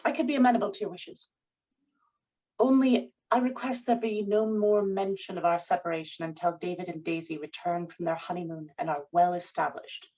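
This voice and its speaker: A woman's voice: on the low side, steady tone, fairly quick, warm tone, lo-fi sound, natural voice. A woman playing a composed, controlling wife in a period drama table read, speaking in a poised, formal way. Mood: guarded, formal.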